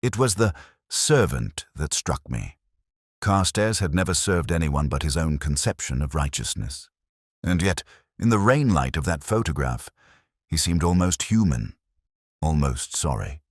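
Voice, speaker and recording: A man voicing an ageing, melancholy detective, narrating a literary radio drama in a measured, reflective, solemn manner. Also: very deep voice, sing-song, slightly rough, dry recording, clean studio sound, full character voice. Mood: brooding, reflective. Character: ageing, melancholy male detective, wry and brooding.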